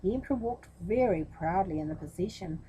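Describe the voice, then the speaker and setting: A woman's voice: on the low side, lively intonation, fairly quick, dark tone, slight background noise, low-quality recording. A woman reading a children's story expressively, with explanatory asides spoken directly to young listeners.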